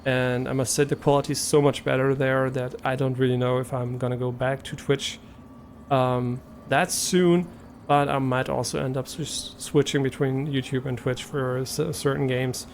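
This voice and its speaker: A man's voice: medium pitch, little pitch movement, moderate pace, a little hiss or hum. A man from Germany, a native German speaker with a German accent, chatting casually and a bit hesitantly to viewers as a live streamer, thinking aloud as he goes.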